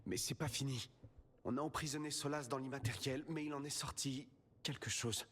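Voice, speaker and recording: An actor playing a young, defiant, determined game hero with a blunt, informal way of talking. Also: male voice, medium pitch, fairly even intonation, normal speed, fairly bright tone, slightly rough. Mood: troubled.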